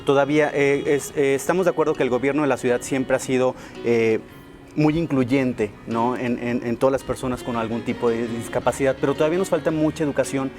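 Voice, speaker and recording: A man's voice: mid-range voice, fairly even intonation, brisk, a little mellow, faint music behind. A man with a Mexican accent hosting a TV interview, asking questions conversationally with "eh" and "este" fillers. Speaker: TV interview host.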